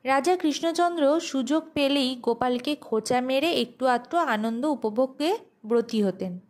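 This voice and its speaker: A woman's voice: sits high, lively intonation, moderate pace. A woman narrating a scripted audio story as the host.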